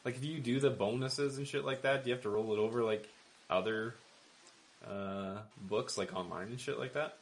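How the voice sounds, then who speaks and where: A man's voice: mid-range voice, normal speed, a little hiss or hum. A man co-hosting a casual podcast about fights and betting, blunt and heavy on swearing. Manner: profane, blunt, complaining. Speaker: podcast co-host.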